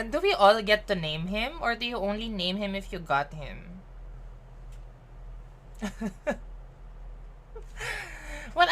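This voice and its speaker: A woman with a Filipino accent chatting playfully with viewers as a game streamer, switching between English and Tagalog with quick teasing asides. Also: on the low side, a bit bright, slight background noise. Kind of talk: casual live-stream chat.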